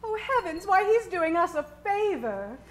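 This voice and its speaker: A woman acts a kindly small-town cafe owner with a high voice. She sounds sweet, genteel and solicitous, in old-time radio style. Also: very animated, moderate pace. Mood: welcoming, concerned.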